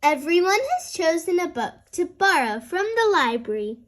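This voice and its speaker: Very high-pitched woman reading to children. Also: normal speed. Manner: expressive, addressing young children. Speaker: storyteller, host. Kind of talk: children's story read-aloud.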